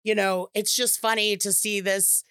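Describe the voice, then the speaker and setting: A woman's voice: mid-range voice, flat delivery, moderate pace, a bit bright, clear, resonant tone, no room sound, clean studio sound. A middle-aged woman telling funny stories on a podcast, laughing often, swearing casually and acting out quotes. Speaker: podcast guest, comedic actress. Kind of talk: casual chat, storytelling.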